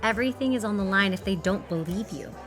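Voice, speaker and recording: A woman's voice: mid-range voice, lively intonation, fast, natural voice. A woman playing a guarded, level-headed operative in a naturalistic drama, dry and pointed. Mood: skeptical. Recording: music under dialogue.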